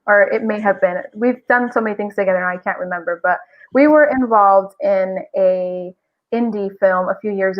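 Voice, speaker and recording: A woman's voice: medium pitch, lo-fi sound. A woman talking reflectively and at length as a guest voice actor in a casual podcast interview.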